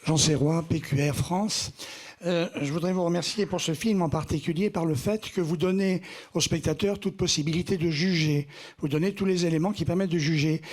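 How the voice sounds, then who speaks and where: A male journalist asking a question at a press conference in a measured, formal way. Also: sits high.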